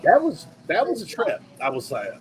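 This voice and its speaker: A man hosting a casual podcast chat, animated and excitable when telling a story. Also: high-pitched, normal speed, noisy background. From rural area. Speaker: podcast host.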